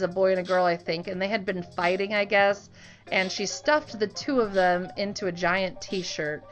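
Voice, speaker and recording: A woman's voice: mid-range voice, fairly bright tone. A young adult woman speaking earnestly and conversationally, sharing informal reflections with her viewers. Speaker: online art channel host; teacher. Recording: voice with music behind. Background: music.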